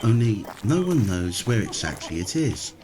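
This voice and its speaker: A man's voice: lowish voice, very animated, moderate pace, crowd noise. A man playing an earnest adventurer in a fantasy audio drama, insisting on a wondrous tale in an emphatic storytelling manner. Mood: recounting.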